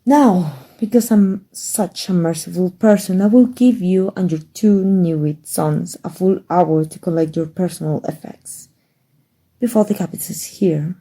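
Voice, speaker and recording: A woman playing a kind, playful young princess in love, regally confident, with soft, teasing, courtly phrasing in a romantic ASMR roleplay. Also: lowish voice, a little slow. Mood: regal, wry. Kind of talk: romantic ASMR roleplay, fairy-tale storybook acting.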